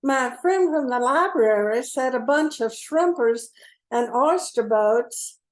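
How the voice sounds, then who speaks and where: A woman's voice: sits high, animated, takes it slowly, rough recording. A woman playing a sociable retired librarian from the bayou in an amateur radio play. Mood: chatty.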